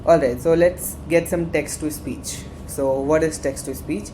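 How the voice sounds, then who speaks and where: A man with an Indian accent walks through a coding tutorial in a loose, conversational way, often repeating phrases to drive a point home. The recording carries a clear layer of background noise. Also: fairly high pitch, fairly even intonation, measured pace. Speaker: workshop instructor. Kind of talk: casual screen-share tutorial.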